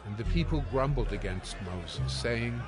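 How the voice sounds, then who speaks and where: A man narrating scripture as scripted audio, with formal, measured storytelling. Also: medium pitch, lively intonation.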